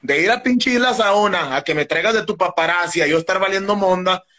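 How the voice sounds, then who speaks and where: A man's voice: high voice, brisk, bright, forceful tone. Stammering man on a video call. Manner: rambling storyteller, stammers and restarts, swears. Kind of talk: casual chat on a live video call. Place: indoors, sitting on a couch. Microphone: phone on a video call.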